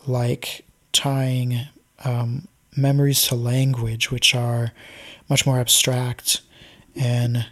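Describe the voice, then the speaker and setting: Slow, husky man musing aloud. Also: mid-range voice, fairly bright tone. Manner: thoughtful, speculative, pauses with ums. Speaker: speaker explaining ideas. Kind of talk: unscripted explanatory monologue.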